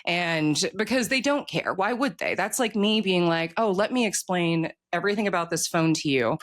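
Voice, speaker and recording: Interviewed author, mid-range pitch, informal and emphatic, conversational. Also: normal speed, a bit bright.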